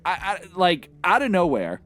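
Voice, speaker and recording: Male co-host, slightly high voice, casual and animated recapping of movie scenes for a podcast. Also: normal speed, faint music behind. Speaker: podcast co-host reviewing films.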